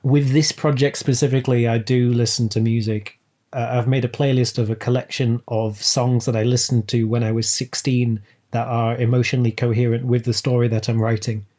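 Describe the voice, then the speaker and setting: Male interview guest, soft dark tone, middle pitch, thoughtful and articulate conversational delivery. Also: flat delivery, a little fast. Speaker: podcast guest, audio drama writer. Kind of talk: casual, reflective interview chat.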